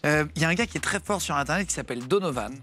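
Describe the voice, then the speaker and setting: A man hosts a casual interview, firing off eager questions at high speed. His voice is bright and sharp, slightly higher than most men's, with faint noise in the background. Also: lively intonation. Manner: quick, eager, asking questions. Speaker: show host.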